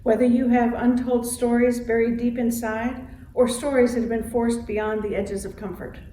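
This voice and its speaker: Mellow woman leading worship, roomy sound. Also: fairly high pitch, flat delivery, normal speed. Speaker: congregation president and guest worship leader. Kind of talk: scripted church service welcome and liturgy. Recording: roomy, distant mic with faint noise.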